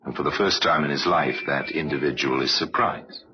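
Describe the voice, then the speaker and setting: Sinister storyteller, rock-bottom pitch, deliberate and knowing, old-time radio drama, muffled vintage recording. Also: male voice. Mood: grave, ominous. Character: ominous, knowing male narrator of true crime tales. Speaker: narrator.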